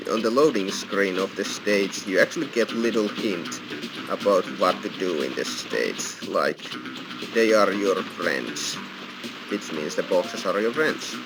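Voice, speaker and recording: A man's voice: fairly low pitch, gravelly, poor audio. A man giving casual walkthrough commentary as host of a gaming video. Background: loud game music.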